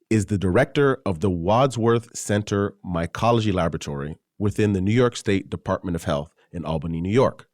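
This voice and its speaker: A man with a lowish voice hosts a podcast, reading his introduction clearly and asking steady questions. The sound is close and dry. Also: no room sound. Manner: clear, composed, reads smoothly. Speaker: podcast host, journal web editor. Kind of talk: scripted introduction and interview questions.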